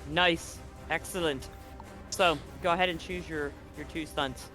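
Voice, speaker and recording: A man's voice: a little high, steady tone, measured pace, pushed and bright, soft background music. A man working as game master, switching between dramatic scene-setting and casual rulings in a tabletop roleplaying game. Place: live online stream.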